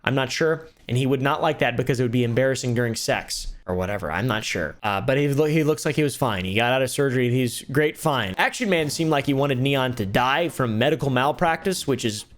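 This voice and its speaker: A man's voice: mid-range voice, fairly quick, hardly any pauses. A young man doing dry, sarcastic commentary on online streamer drama, swearing freely. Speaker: commentary YouTuber.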